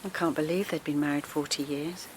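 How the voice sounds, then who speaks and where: A woman's voice: sits low, fairly even intonation, a little fast, noisy background, natural voice. An actress playing an outspoken, principled woman activist in an audio drama, challenging and indignant, asking pointed questions. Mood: subdued. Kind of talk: naturalistic audio drama acting.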